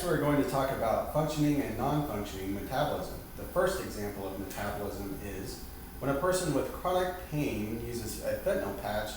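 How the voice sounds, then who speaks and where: A man giving a scripted, formal lesson as an instructor, stumbling now and then over technical terms. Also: mid-range voice. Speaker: instructor leading a staged class. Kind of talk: scripted lesson presentation, reading aloud. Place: reverberant indoor room, distant microphone. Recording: very echoey room, far mic, loud background hiss.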